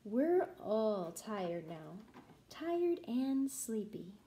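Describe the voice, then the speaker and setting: Slow, sing-song young woman reading to children. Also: medium pitch, very animated, warm tone. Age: young adult. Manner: sing-song chanting, rhythmic and deliberate. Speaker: music teacher reading a story aloud. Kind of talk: children's picture book read-aloud. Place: small room at home, indoors.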